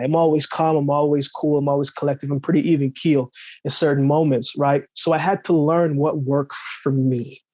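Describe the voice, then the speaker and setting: Brief: easygoing man from Jamaica, slightly higher voice, dull lo-fi remote audio, storytelling guest. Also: warm tone, no room sound. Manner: easygoing, laughs often, repeats "right?". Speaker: podcast guest, former sprinter. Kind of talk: conversational storytelling.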